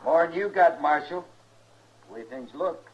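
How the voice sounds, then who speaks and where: A man's voice: on the high side, normal speed, natural voice. A man with an American accent playing a defiant, taunting mob leader with folksy speech in an old-time radio western. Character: belligerent rabble-rousing western mob leader, a male drifter. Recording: muffled old radio recording.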